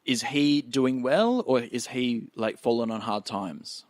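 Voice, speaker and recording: A man's voice: medium pitch, takes it slowly, no room sound. A man casually narrating what his character does as a player in a tabletop roleplay podcast. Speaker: player in an actual play podcast.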